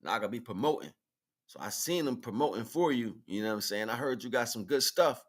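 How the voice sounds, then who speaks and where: A man's voice: medium pitch, animated, a little fast. A man hosting a livestream in casual, slangy talk, often checking in with the listener using tag questions. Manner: informal slangy speech with frequent tag questions. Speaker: livestream host.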